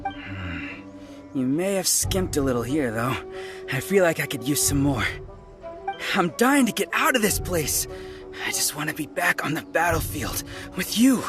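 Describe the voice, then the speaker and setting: A man's voice: fairly high pitch, very animated, measured pace. A man playing a restless, stubborn, competitive wounded soldier in a video game, with a brash, needling, offhand manner. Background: prominent background music.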